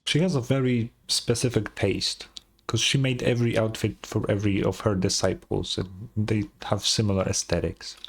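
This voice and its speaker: A man giving casual live commentary as a streamer reacting to a video game, with a husky voice. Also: fairly low pitch, steady tone, normal speed.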